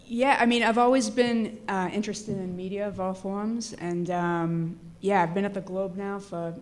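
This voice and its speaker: A woman's voice: mid-range voice, steady tone, moderate pace, darkish tone. A woman from Boston with a Dorchester accent, a journalist guest talking easily in a live podcast interview. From Boston area. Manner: easygoing, many fillers. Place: brewery, live audience.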